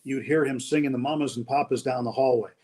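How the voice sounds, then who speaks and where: A man's voice: mid-range voice, fairly even intonation, fast. A man who calls games on the radio, telling stories about colleagues in a casual, reminiscing way over a video call. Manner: anecdotal, warm reminiscing with restarts. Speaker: guest, radio play-by-play announcer. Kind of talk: casual storytelling.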